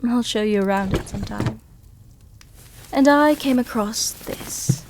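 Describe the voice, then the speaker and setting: Casting: female actor as seductive vampire noblewoman, ASMR roleplay. Very slow, intimate delivery, comforting, protective, teasing, softly dominant. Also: on the high side, background noise. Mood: intimate, confiding. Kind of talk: intimate ASMR roleplay, scripted character acting.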